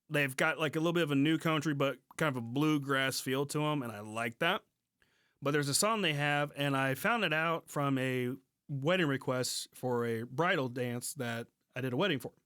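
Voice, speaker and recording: A man's voice: mid-range voice, fairly bright tone, clean tone, no room sound, clean studio sound. An American man hosting a podcast, chatting casually in informal slang and often restarting his phrases. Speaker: podcast host. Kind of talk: casual music podcast chat.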